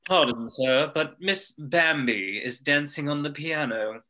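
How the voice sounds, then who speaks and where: An actor playing a polite, long-suffering butler with formal, deferential manners, in an animated superhero table read. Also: male voice, fairly high pitch, a little slow. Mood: politely pained.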